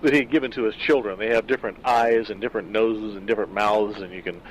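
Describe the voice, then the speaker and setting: A man in his sixties, an author reading his own horror story and then chatting in a measured, self-deprecating way. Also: lowish voice, fairly even intonation, muffled recording, slight background noise. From California. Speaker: author and narrator. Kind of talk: scripted horror narration and casual backstage talk.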